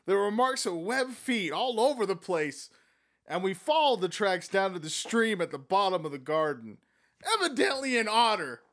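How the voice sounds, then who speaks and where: A man voicing a hot-tempered country gentleman who is bent on revenge and speaks in a loud, indignant, blustering way. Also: high-pitched, big pitch swings, moderate pace, voice pushed up.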